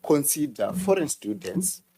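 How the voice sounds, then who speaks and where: A middle-aged man, a university official testifying before a parliamentary committee, measured and formal with hesitant starts, at a desk mic. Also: on the high side, sing-song, warm tone, breathy edge. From Zimbabwe.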